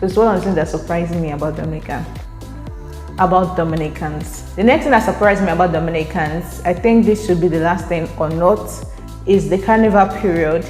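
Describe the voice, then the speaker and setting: A woman's voice: fairly low pitch, a little fast, darkish tone. Chatty Nigerian woman in an echoey room. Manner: chatty, laughs often, repeats words while thinking. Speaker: vlogger. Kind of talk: casual vlog to camera. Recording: echoey room, faint music.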